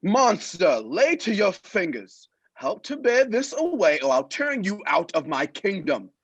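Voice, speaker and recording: A woman playing a drunken, bossy man who fancies himself king, in a Shakespearean radio play, with a raspy voice. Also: medium pitch, big pitch swings, a little slow, dry recording, highs cut off. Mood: bossy, indignant. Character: Stefano, drunken bossy man, self-styled island king.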